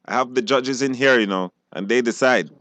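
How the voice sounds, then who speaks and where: A man's voice: medium pitch, fairly quick, fairly bright tone, slight background noise. Belizean man hosting radio call-in show. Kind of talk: live call-in quiz hosting, casual chat.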